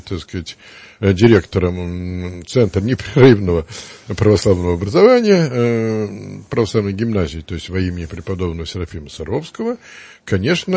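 A man hosting a live radio talk show, speaking in a measured, deliberate way with many fillers. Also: fairly low pitch, darkish tone, husky, no echo.

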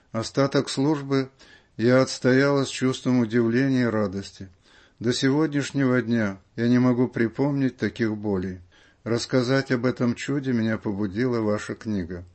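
Guy reading letters out on the radio, scripted narration, medium-pitched voice. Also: a little mellow. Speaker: radio reader of listeners' letters.

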